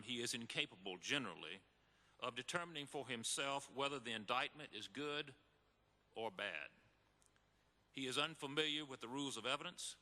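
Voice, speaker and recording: A man playing an earnest, formal Supreme Court justice in a scripted, deliberate, oratorical reading for a live re-enactment. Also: mid-range voice, pushed and bright. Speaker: reader in a readers theater re-enactment. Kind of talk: scripted dramatic reading to an audience. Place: large hall before an audience. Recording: echoey hall with long reverb.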